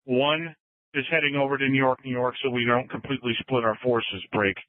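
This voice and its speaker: A man's voice: medium pitch, steady tone, fairly quick, dry recording. A man, a police commander, giving a status report over police radio. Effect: police radio transmission. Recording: tinny narrow-band police scanner audio.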